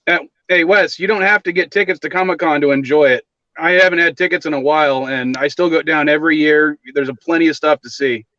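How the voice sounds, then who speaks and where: A man's voice: a little high, a little fast, sharp tone, no room sound. Rambling man on lo-fi call audio. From Southern California. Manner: chatty, rambling storyteller. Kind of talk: casual chat.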